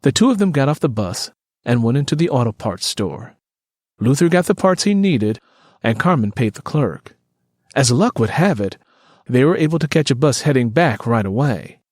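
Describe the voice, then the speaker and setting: A man's voice: mid-range voice, dry recording, clean studio sound. A man narrating scripted fiction as a storyteller.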